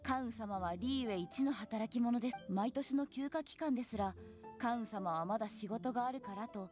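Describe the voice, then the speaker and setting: A woman's voice: a little high, normal speed, darkish tone, lightly acted. A woman playing an earnest, overworked young secretary in an anime game, speaking formally and seriously. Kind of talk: Japanese anime game voice acting. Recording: music behind.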